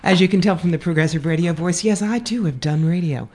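A woman's voice: lowish voice, fast, a little mellow. Wry, blunt woman psychic on radio. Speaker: psychic reader, radio guest. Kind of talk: casual radio chat. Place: radio studio.

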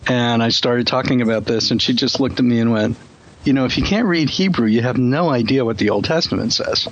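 A man's voice: medium pitch, a little fast, lo-fi sound. A man hosting a casual discussion, speaking thoughtfully with frequent ums and you-knows. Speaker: host.

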